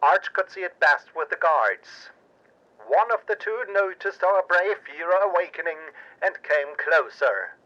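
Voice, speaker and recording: Guy narrating an old pulp adventure, takes his time, sharp forceful voice, very theatrical, kinda radio-ish sound. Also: high voice, lively intonation, lo-fi sound. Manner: theatrical, suspenseful, deliberate. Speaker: narrator and storyteller. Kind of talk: dramatic pulp audio drama narration.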